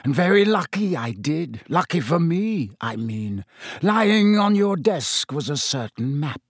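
A man's voice: fairly high pitch, sing-song, unhurried, slightly rough, no echo, clean studio sound, pitched above the performer's own voice, full character voice. A man voicing a sneering, vengeful schoolteacher with a silky, menacing, contemptuous manner in an audiobook. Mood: breathless triumph. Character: sneering, triumphant, fanatical male schoolteacher bent on vengeance.

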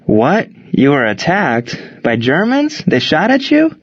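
A man's voice: medium pitch, fairly quick, no echo, lo-fi sound, voice pushed up, natural voice. A man voicing an anxious, agitated young male ballplayer in an audiobook war story. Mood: alarmed. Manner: agitated, blurting.

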